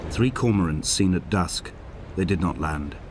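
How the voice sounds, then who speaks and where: An actor playing a grieving, weary male castaway, narrating a video game's literary monologue in a solemn, measured, poetic way. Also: low-pitched, animated, background noise. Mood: weary, desolate. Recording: voice over music.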